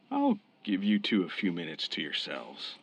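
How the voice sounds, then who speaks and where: A man as a low-voiced, fair-minded cop. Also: vocal fry, slight background noise, exaggerated voice. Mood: calm. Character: fair-minded, encouraging New York police officer. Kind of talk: radio drama acting.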